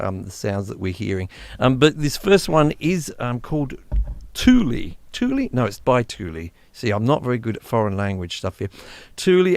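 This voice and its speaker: A man hosting a casual live music-production stream, chatty and quick to laugh. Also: mid-range voice, dry recording. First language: English. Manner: chatty, laughing, restarts, lively. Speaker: host.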